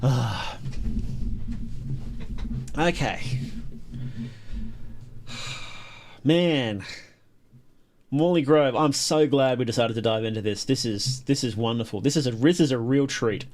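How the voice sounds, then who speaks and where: A man's voice: mid-range voice, slow pace, long pauses, a little mellow. A man hosting a livestream, giving casual, enthusiastic reaction commentary with emphatic repetition. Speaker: livestream host reacting to videos.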